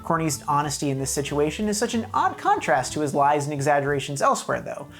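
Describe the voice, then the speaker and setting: A woman's voice: deep voice, sing-song, fast talker. A woman narrating a scripted comedic video review in a wry, mock-serious, emphatic way.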